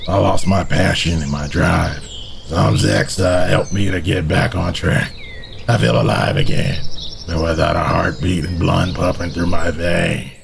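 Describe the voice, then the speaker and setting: A man voicing a gravelly male zombie giving an earnest testimonial in a mock drug commercial. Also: unhurried, background noise, heavily acted. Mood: earnest, undead. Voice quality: very low, gravelly. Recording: loud music bed behind voice.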